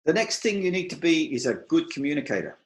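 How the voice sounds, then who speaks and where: A middle-aged Australian man giving an advice talk to an online meetup, speaking slowly and clearly with dry humour. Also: a little high, big pitch swings, roomy sound. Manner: slow, deliberate, clear delivery for non-native listeners.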